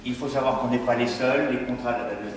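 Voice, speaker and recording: A man's voice: medium pitch, steady tone, a little fast. A man chairing a council meeting, speaking informally off the cuff and often rephrasing himself. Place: large echoey meeting room. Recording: echoey hall, distant mic, background noise.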